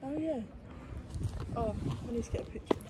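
A woman's voice: on the high side, moderate pace. A young British woman chatting casually and informally as a companion on a walk. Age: young adult. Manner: informal, colloquial. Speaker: host's walking companion. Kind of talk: casual chat. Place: outdoors, walking along a marina promenade. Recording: clear outdoor ambient noise. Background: outdoor seafront ambience.

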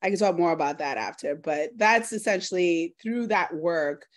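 A woman's voice: lowish voice, animated, moderate pace. A woman in her thirties from Trinidad, speaking informally about her own experience on a video call from home. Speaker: food justice organizer. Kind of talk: personal talk in an online workshop. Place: living room at home, indoors. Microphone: laptop video call.

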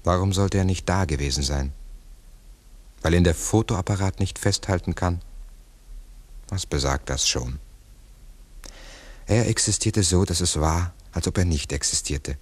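An actor playing an earnest, philosophizing investigator in a 1960s German radio play, speaking in a deliberate, explanatory way. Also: male voice, low voice. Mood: earnest conviction. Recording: 1960s mono radio recording.

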